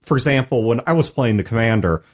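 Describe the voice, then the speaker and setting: A man co-hosting a casual podcast chat, explaining things in a thoughtful, measured way. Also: mid-range voice, lively intonation. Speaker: podcast co-host.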